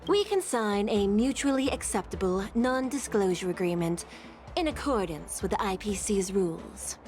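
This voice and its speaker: A woman's voice: medium pitch, expressive, moderate pace, darkish tone, soft background music, natural voice. A woman voicing a strict, dutiful port official in a video game, speaking formally and firmly.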